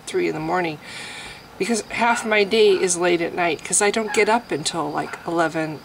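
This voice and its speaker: A woman vlogging in a casual, rambling way, chatty and self-deprecating, who keeps clearing a scratchy throat. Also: lowish voice, normal speed. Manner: chatty, self-deprecating, frequent laughs and restarts. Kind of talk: casual rambling chat to camera.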